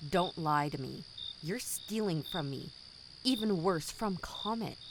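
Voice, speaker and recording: A woman's voice: fairly low pitch, lively intonation, unhurried, noisy background. A woman playing a cold, condescending company boss in an audio drama, formal, smug and menacing. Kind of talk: scripted audio drama acting.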